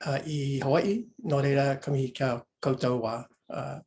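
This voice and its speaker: A man from New Zealand opens with a ceremonious formal greeting and then introduces himself to the panel. He speaks over a video call at a middling pitch. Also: normal speed, vocal fry, a little mellow, a little hiss or hum. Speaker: panelist and researcher.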